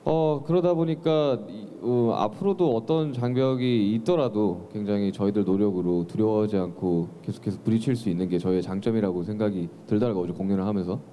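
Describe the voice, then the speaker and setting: A young man speaks into a handheld microphone in a large press room, thoughtful and hesitant, filling gaps with soft fillers. His voice is low-set and very mellow. A faint hiss sits under the recording. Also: a little fast, few pauses. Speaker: singer at press conference. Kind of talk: press conference remarks. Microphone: handheld mic.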